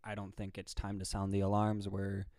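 A man's voice: low voice, monotone, normal speed, a little mellow, no room sound, studio quality. A man co-hosting a casual sports podcast, explaining his views confidently with fillers like "right" and "like". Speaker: podcast co-host.

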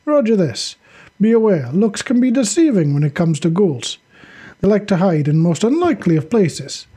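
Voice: medium pitch, sing-song, moderate pace, dark tone, slightly rough. A narrator voicing a good-humored male squad commander in an audiobook. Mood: businesslike.

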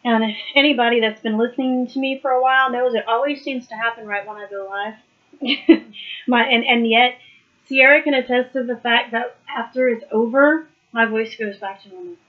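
Woman, live study host, hoarse, chatty, muffled stream audio. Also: a little high, normal speed. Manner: chatty, laughs often, warm southern "y'all" address. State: losing her voice. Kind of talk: casual live Bible study talk.

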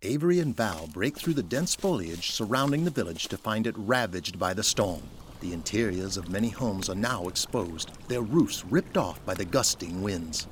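A man narrating a scripted audio drama in a measured, descriptive storytelling manner. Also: deep voice, slight background noise. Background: music.

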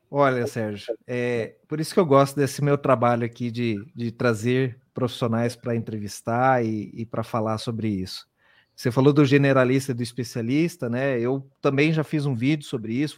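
A man's voice: medium pitch, no echo, studio quality. A man hosting an interview in a casual, friendly manner, thanking his guest. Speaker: interview host.

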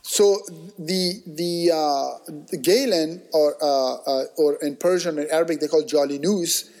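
A man in casual conversation, telling stories in an animated, discursive way, full of restarts and acted-out quotes. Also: a little high, measured pace.

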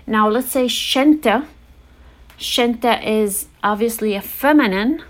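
A woman hosts a relaxed language lesson podcast, walking through everyday phrases one at a time. She says each one slowly and clearly so that listeners can repeat after her, in a friendly, casual teaching tone. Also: on the high side. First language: English.

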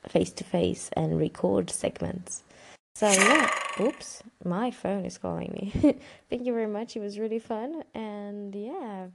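Woman, 30s, gravelly fry, easygoing interview guest who rambles with fillers. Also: medium pitch, slight background noise. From Romania. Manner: relaxed, rambling, with fillers and small laughs. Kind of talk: casual interview answers.

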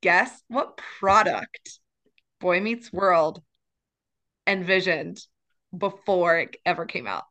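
A woman's voice: lowish voice, takes it slowly, pauses often, sharp tone. A woman co-hosting a podcast in casual chat, rambling and giggly, sometimes breaking into song. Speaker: podcast co-host.

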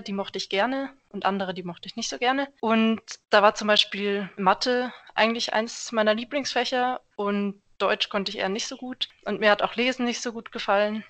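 A young woman from Germany, a civil engineer answering interview questions in a relaxed, thoughtful way with many small fillers. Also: on the high side. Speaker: podcast guest, civil engineer.

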